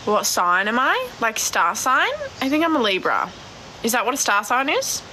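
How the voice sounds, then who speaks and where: Australian woman, twenties, naturally deep voice, swears a lot, bright sound, faint outdoor noise. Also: sing-song, normal speed. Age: 20s. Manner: blunt, swears a lot, laughs easily. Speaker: cattle farmer vlogger. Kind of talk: casual live stream chat answering comments. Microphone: phone at arm's length.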